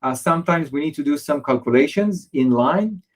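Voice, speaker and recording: Man demoing software, halting. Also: on the high side, lively intonation. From Israel. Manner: hesitant, many fillers and restarts. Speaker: software presenter. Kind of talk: software demo walkthrough.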